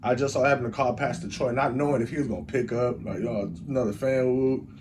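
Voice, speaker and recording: Animated young Chicago man telling stories. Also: mid-range voice, fast, slight background noise. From Chicago, USA. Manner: rambling, animated storytelling with lots of "like". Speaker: guest, audio engineer and rapper. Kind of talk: casual storytelling interview.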